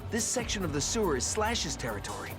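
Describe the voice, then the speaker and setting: Earnest, animated young turtle leader. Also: male voice, fairly high pitch, fast talker, music in the background. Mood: urgent, resolute. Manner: commanding, earnest. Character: serious, decisive teenage mutant turtle leader. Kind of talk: cartoon video game acting.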